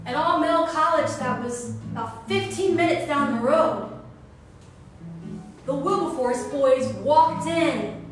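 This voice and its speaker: A woman performing an autobiographical stage monologue, telling it expressively and dramatically with drawn-out words. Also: high voice. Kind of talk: theatrical autobiographical monologue, loosely improvised. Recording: very echoey, distant, music behind.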